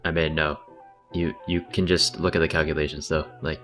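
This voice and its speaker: A man's voice: a bass voice, level tone, fairly quick, fairly bright tone, soft background music. A man giving casual, chatty livestream game commentary, full of slang and swearing. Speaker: game streamer.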